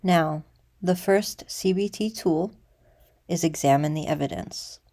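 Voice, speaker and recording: Low, mellow, creaky-voiced woman guiding meditation. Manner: measured, deliberate, with pauses. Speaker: guided meditation teacher. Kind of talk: guided meditation instruction.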